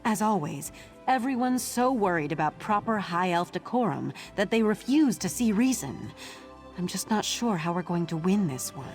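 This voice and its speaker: A woman acts out an earnest ally in a fantasy game. She has a medium-pitched voice and a plain-spoken, concerned manner. Light music runs underneath. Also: expressive, moderate pace, a little mellow, close to an everyday voice. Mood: worried, exasperated. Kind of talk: scripted video game dialogue.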